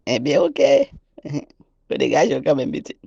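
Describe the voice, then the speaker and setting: A man voicing a friendly Haitian local with a creaky, husky voice and a relaxed, amused, chatty manner. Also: a little high, measured pace, no echo, muffled recording, heavily acted.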